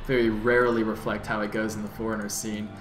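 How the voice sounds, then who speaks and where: A man's voice: fairly low pitch, level tone, fast talker. Guy doing laid-back play-by-play, echoey room, faint music. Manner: analytical, easygoing, joking. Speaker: esports commentator. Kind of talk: play-by-play commentary.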